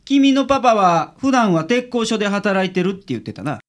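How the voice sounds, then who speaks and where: A woman acts as a bad-tempered private eye in a radio drama. He grumbles and scolds in blunt Kansai dialect. The delivery is animated, with a gruff, sarcastic edge. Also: medium pitch, a little fast, close to an everyday voice. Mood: gruff, dismissive. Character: grumpy, foul-mouthed private detective man. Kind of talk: community radio drama acting.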